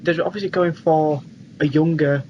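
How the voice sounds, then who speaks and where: A man's voice: a little high, fairly even intonation, moderate pace, highs cut off, a little hiss or hum. A young British man co-hosting a podcast, talking informally and agreeably in casual chat. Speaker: podcast co-host. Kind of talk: casual film and game chat.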